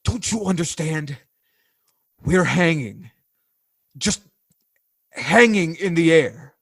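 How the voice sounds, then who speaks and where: Man acting a high-pitched, halting, agitated airplane pilot. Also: animated, fairly bright tone, full character voice. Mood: frantic, insistent. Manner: emphatic, halting, insistent. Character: agitated airplane pilot, exasperated, stunned yet commanding. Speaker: voice actor. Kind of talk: 1940s radio drama acting.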